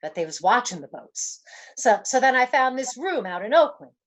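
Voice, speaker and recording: Actress doing a frightened slangy gangster girlfriend, old radio style, swoopy highish voice, pleading. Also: big pitch swings, normal speed. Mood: scared, confessing. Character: frightened, pleading young gangster's moll on the run. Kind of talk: old-time radio detective drama acting.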